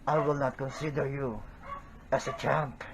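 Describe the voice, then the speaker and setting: A man from the Philippines, a pro wrestler cutting a slow, deliberate, menacing promo against a rival. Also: mid-range voice, level tone, background noise.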